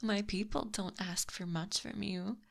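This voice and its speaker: A woman voicing a possessive, smugly teasing snake monster in an intimate, breathy ASMR roleplay, close to the microphone. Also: mid-range voice, steady tone. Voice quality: breathy, whispery. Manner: slow, smug, softly menacing. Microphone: close binaural microphone.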